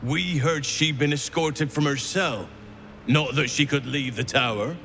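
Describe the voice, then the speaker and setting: Stern, contemptuous cult guard. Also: male voice, a little high, a little slow, sharp tone, a little hiss or hum. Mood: suspicious, contemptuous. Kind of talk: video game voice acting. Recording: background music.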